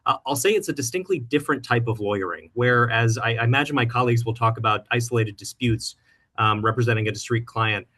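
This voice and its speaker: A man's voice: mid-range voice, quick pace, pushed and bright. A man introducing himself politely as a panelist, in an informal professional style. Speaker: panelist, government lawyer.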